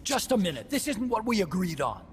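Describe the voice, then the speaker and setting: A man's voice: high-pitched, big pitch swings, brisk. An actor playing an anxious, indignant male scientist protesting in an agitated way in a video game cutscene. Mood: indignant, pleading. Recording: long hall reverb, faint noise.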